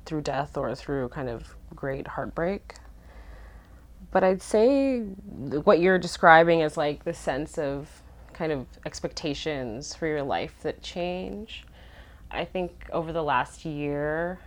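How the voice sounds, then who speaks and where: A young woman, talking thoughtfully and hesitantly in casual conversation. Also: low voice. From Canada.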